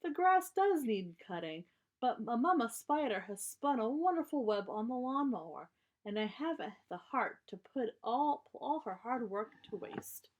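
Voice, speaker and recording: A woman's voice: fairly high pitch, big pitch swings, measured pace, voice pushed up. A woman voicing an easygoing storybook father bear who makes earnest, placating excuses.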